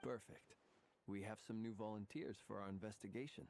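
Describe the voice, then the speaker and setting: An actor playing a composed, genial gentleman investigator in a video game, with measured, formal phrasing. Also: lowish voice, fairly even intonation, slight background noise, lightly acted. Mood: amused.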